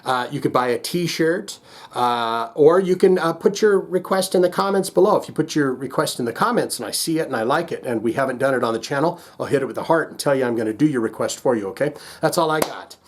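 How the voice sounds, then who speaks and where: A man's voice: mid-range voice, animated, fast talker. A man hosting a music reaction video, chatting casually to viewers in a rambling, jokey way and often interrupting himself.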